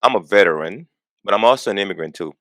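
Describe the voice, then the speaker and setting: A man's voice: lowish voice, expressive, fast, fairly bright tone, no room sound. A man in his forties, from Jamaica, talking earnestly as a podcast guest and repeating words as he gets going. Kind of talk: conversational interview.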